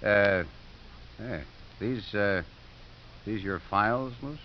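An actor playing a brash, cynical, wisecracking American football coach in a 1950s radio drama, blunt and slangy. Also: male voice, lowish voice, slow. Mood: sly, persuasive. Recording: muffled, hissy 1950s radio recording.

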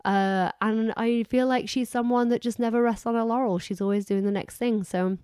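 A woman's voice: a little high, steady tone, moderate pace, darkish tone, clean tone, no room sound, clean studio sound. A woman co-hosting a podcast in casual, effusive, rambling chat while she is losing her voice. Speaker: podcast co-host.

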